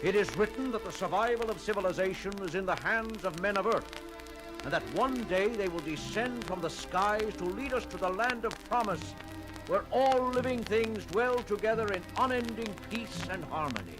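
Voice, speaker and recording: An actor playing a solemn, wary guardian of a primitive mountain people in a 1970s audio adventure, stately and declamatory. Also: male voice, high voice, lively intonation, moderate pace, rough recording. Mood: reverent. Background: dramatic music.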